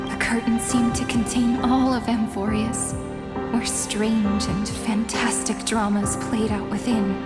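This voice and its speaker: A woman playing a sorrowful young heroine of a doomed fantasy world in a video game, speaking in a solemn, wistful and measured way. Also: on the high side. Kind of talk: dramatic video game voice acting. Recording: long reverb with music behind.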